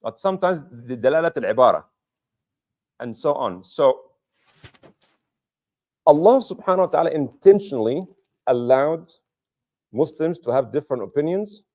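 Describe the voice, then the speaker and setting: A man's voice: on the high side, expressive, normal speed, pauses often, warm tone. A man giving a mosque lecture as imam, explaining patiently with rhetorical questions and repeating key points for emphasis.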